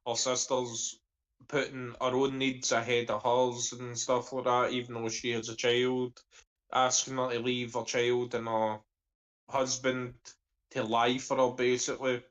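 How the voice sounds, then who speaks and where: A man's voice: mid-range voice, level tone, unhurried, a bit bright, low-quality recording. A man giving blunt opinions in a casual discussion, using slang. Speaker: discussion panelist, security industry worker.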